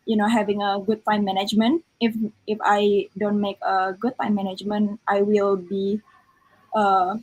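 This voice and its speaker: A young woman speaks earnestly on a webinar panel, pausing often on fillers. Her pitch sits in a middle range. She is heard through a video call. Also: rough recording. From Indonesia. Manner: earnest, filler-heavy, non-native grammar. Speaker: exchange student panelist. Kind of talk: online panel sharing.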